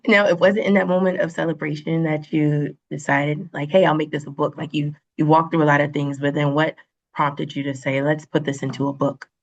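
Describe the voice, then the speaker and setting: A podcast host asking questions in a warm, encouraging, casual way. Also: mid-range voice, little pitch movement, a little fast, lo-fi sound. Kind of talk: casual interviewing.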